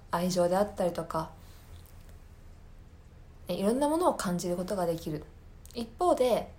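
A woman's voice: mid-range voice, darkish tone. A woman podcast host talking casually on her own, explaining things thoughtfully and searching for her words as she goes. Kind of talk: casual solo recommendation talk.

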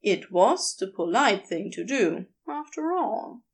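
A woman narrating a written story in an expressive, unhurried storytelling manner. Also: mid-range voice, slightly rough. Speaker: podfic narrator.

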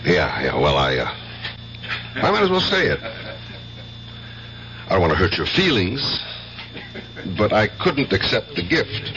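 An actor playing a suspicious, protective older brother who is a police detective, blunt and insistent, in 1970s radio drama style. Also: male voice, sits low, wildly swooping pitch, slow, pauses often, full character voice. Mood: uneasy, troubled. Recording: dull, faintly noisy old radio recording.

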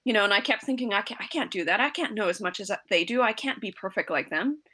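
A woman's voice: medium pitch, animated, fast, pushed and bright. A woman teacher from South Africa, a guest answering interview questions earnestly with a lot of "you know". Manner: earnest, explanatory, many "you know" fillers.

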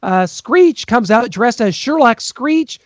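High-voiced man, eager episode recap. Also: expressive, slow pace, no room sound, clean studio sound. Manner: enthusiastic, recounts plot in detail. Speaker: podcast co-host. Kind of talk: casual recap chat.

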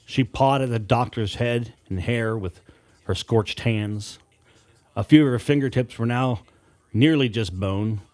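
A man reading scripted horror fiction as an audiobook narrator, in a dramatic, deliberate storytelling manner with weighted pauses. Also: medium pitch, a little mellow.

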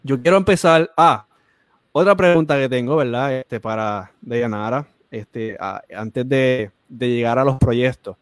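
A man's voice: medium pitch, measured pace, clear, resonant tone, dry recording. Preachy Spanish-speaking man host. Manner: preachy, repeats phrases for emphasis.

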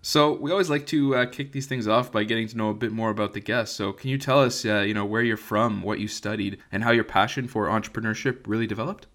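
Male podcast host, low voice, friendly, reads sponsor spots. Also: steady tone, fast talker, hardly any pauses, fairly bright tone. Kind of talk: scripted intro and interviewing.

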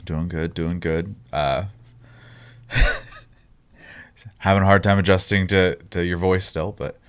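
A laid-back young man with a very deep voice chats on a gaming podcast. He gives his opinions bluntly and casually. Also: fairly even intonation, slow, smooth. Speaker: podcast co-host.

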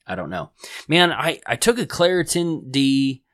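A man's voice: mid-range voice, sing-song, unhurried, a bit bright, dry recording, clean studio sound. A man from Texas hosting his podcast, talking in a chatty, friendly way full of restarts, his voice nasal from congestion. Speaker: podcast host. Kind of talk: casual solo podcast talk. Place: Round Rock, Texas area home studio.

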